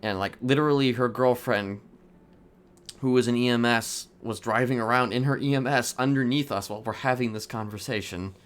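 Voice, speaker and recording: A man in an improvised in-character roleplay conversation, talking haltingly and often restarting his phrases. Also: mid-range voice, a bit bright, smooth. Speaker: roleplay game player.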